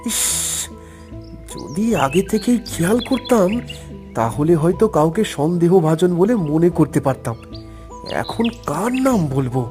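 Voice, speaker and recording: Actor playing nervous boastful cartoon garden guard, swooping pitch, flustered exaggerated delivery, obsequious, faint music. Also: big pitch swings, measured pace, dark tone. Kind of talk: animated fairy tale voice acting.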